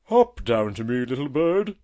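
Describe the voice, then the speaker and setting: A man's voice: lowish voice, big pitch swings, normal speed, darkish tone. A man voicing a testy but friendly old male tortoise in a storybook, chatty and avuncular, speaking emphatically. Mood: friendly, contented. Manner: emphatic, chatty, avuncular, fond of tag questions. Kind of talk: storybook character voice.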